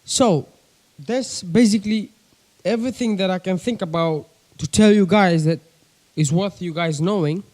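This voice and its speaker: A man's voice: high voice, moderate pace, darkish tone. A young man from Kenya with a coastal Kenyan accent, chatting casually to camera from his bedroom about his hobby and often calling his viewers guys. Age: young adult. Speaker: pigeon-keeping vlogger. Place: bedroom with a desk.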